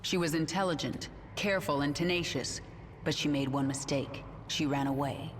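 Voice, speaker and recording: A woman's voice: sits low, moderate pace, noisy background, close to an everyday voice. An actress voicing a ruthless, pragmatic queen of an alien swarm in a video game, with a cold, commanding manner. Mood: cold, resolute. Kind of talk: dramatic video game acting. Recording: loud game music over voice.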